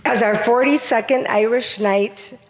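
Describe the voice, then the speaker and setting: A woman's voice: fairly high pitch, a little slow, in a large hall, low-quality recording. A woman emceeing a community stage event, talking through a voice she says she is losing. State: losing her voice. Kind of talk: stage announcements.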